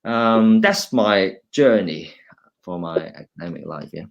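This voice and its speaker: Low-voiced Hong Kong man, halting. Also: expressive, creaky, dull audio. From China, raised in Hong Kong. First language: Cantonese. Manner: halting, with many restarts, switching between English and Cantonese. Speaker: university lecturer, guest speaker. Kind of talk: informal talk presenting slides.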